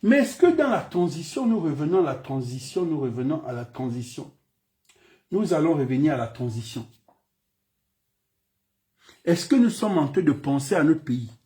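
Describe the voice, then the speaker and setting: Emphatic high-voiced Gabonese man. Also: sing-song, normal speed, pauses often, mellow. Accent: Gabonese French. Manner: emphatic, repeats phrases, rhetorical questions. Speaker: political commentator. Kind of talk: impassioned political speech.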